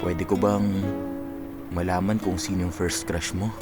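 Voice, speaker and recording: A man's voice: low voice, little pitch movement, unhurried. A Filipino actor in a radio drama playing an earnest, playful young man in his twenties who is in love. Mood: earnest, curious. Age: 20s. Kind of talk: Tagalog radio drama acting. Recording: music behind the dialogue.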